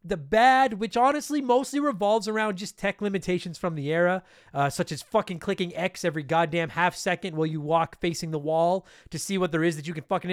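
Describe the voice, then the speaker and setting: Fast-talking, stuffy-nosed male podcast host. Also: high-pitched, clear, resonant tone, no room sound, studio quality. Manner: fast, rambling, profane. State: stuffy from allergies. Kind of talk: casual podcast intro monologue.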